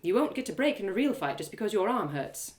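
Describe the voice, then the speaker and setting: A woman voicing a fierce, guarded, hot-tempered warrior woman in an audio drama, with curt, gruff delivery. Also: medium pitch, big pitch swings, fast talker, a little mellow, lightly acted. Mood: firm, softened. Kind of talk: one-woman audio drama character acting.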